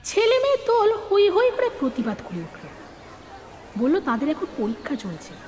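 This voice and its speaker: A woman's voice: sits high, wildly swooping pitch, normal speed, darkish tone, long reverb tail, a little hiss or hum. A woman narrating a scripted story in a dramatised storytelling style.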